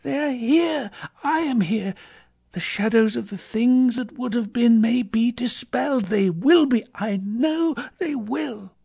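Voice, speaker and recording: Woman playing elderly storybook miser man, overjoyed, exclamatory, breathless repetitions, high-pitched, wildly swooping intonation. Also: very animated, moderate pace, vocal fry, breathy edge, no echo, clean studio sound, exaggerated voice. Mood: elated, relieved.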